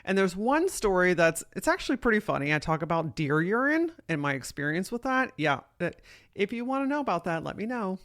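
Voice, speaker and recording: A woman in her thirties with a deep voice, hosting a solo podcast and telling a personal story in a candid, swearing, animated way. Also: a little fast. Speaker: podcast host and keynote speaker. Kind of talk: casual solo podcast monologue.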